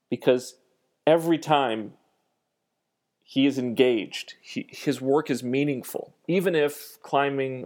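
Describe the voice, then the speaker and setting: A man with a nasal voice hosting a solo podcast, thinking aloud in a reflective, self-deprecating way with frequent pauses. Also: mid-range voice, darkish tone. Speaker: podcast host.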